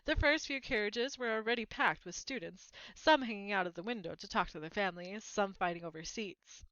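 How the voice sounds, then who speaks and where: A woman reading a children's story aloud as narrator, in an expressive storytelling style. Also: medium pitch, brisk, dry recording, muffled recording.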